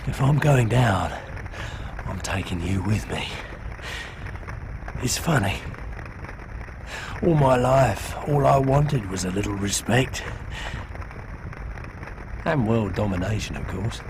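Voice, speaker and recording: A gloating, scheming villain lord who savors every word at a slow, deliberate pace. His tone is sneering and theatrical, full of mock sympathy. Steady background noise runs under the game cutscene audio. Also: fairly low pitch. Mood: bitter.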